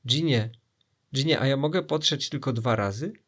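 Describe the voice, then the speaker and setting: A man's voice: medium pitch, a bit bright, close to an everyday voice. A man voicing greedy, dim, gullible pirates in a storybook, clamouring eagerly. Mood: baffled. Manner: clamouring, slow-witted, eager.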